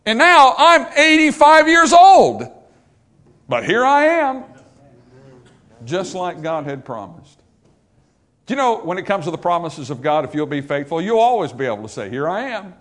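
A man preaching a sermon, swinging from loud emphatic peaks to quiet low asides. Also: big pitch swings, normal speed, pauses often.

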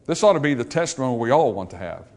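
A man preaching a sermon, swinging from loud emphatic peaks to quiet low asides. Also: big pitch swings, racing.

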